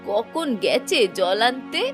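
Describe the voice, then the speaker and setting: A woman's voice: a little high, very animated, slow, a bit bright, soft background music, heavily acted. A woman voicing a kindly, worried old village grandmother in a Bengali moral-tale cartoon, with a doting, folksy way of talking. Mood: mildly scolding. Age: older.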